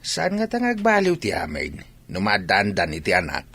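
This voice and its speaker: A man playing an older Ilocano village father, curious and doubting, with a gruff, husky voice in a radio drama. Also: on the low side, huge pitch swings, moderate pace, sharp tone, exaggerated voice. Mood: musing.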